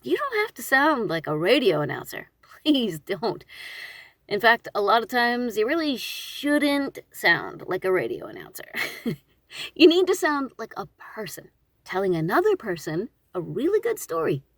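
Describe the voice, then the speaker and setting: A woman giving a casual instructional talk, conversational and expressive, with emphatic phrasing. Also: a little high, unhurried, no echo. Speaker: audiobook narrator and voice actor.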